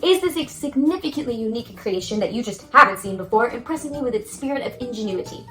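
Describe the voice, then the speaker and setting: A woman's voice: a little high, expressive, quick pace, sharp tone, soft background music. A woman doing scripted announcing as a presenter, with whimsical, flowery phrasing. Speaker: presenter of judging criteria.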